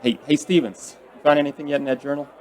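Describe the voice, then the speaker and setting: An actor playing a steady, folksy, plain-spoken small-town sheriff in a radio drama. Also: male voice, a little high, fast, some chatter behind, close to an everyday voice. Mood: pondering, authoritative.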